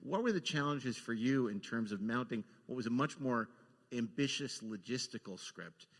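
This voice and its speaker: A man's voice: mid-range voice, animated, moderate pace. A man moderating a live panel, putting interview questions to his guests in a conversational way. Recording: echoey hall, live panel sound.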